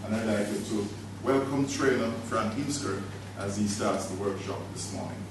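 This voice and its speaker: A man formally introducing a trainer to a workshop audience, speaking in a measured way. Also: lowish voice. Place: conference room. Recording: distant echoey room recording with noise.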